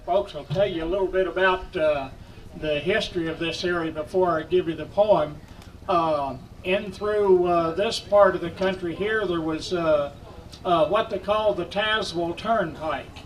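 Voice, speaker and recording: A man's voice: sits high, measured pace. A man, a store owner and host giving an informal talk on local history to visitors. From Virginia, United States. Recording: distant microphone, faint ambient noise.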